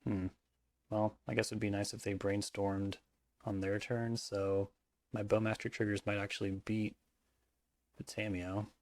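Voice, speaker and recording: A man casually commentating his own card game match, thinking aloud in mumbled asides, his voice hoarse and cracking at times. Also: fairly low pitch, flat delivery, measured pace, long pauses, darkish tone, dry recording.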